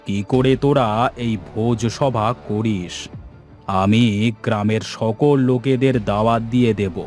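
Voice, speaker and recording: A man's voice: medium pitch, unhurried, darkish tone, close to an everyday voice. A man voicing a scornful village villain in a cartoon, sneering and threatening as he schemes. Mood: scornful, scheming. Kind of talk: cartoon character dialogue. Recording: music bed behind the voice.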